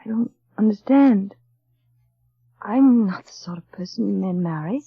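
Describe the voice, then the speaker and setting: A woman's voice: medium pitch, animated, a little slow, slightly rough, dry recording. An actress playing a shy, earnest young lady's companion in a 1930s radio drama, with diction and a hesitant, self-effacing manner. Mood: bewildered, timid. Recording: muffled, lo-fi vintage radio recording.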